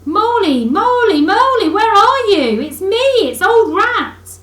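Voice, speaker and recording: A woman voicing a good-natured, capable male river rat in a children's storybook, with a brisk, chummy, reassuring manner. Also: very high-pitched, very animated, fairly bright tone, reverberant, pitched above the performer's own voice, full character voice. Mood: calling cheerfully.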